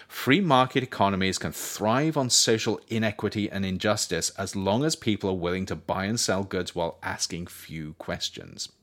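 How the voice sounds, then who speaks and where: Low-pitched man, animated narration. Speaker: author reading own book as audiobook podcast. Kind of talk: scripted narration.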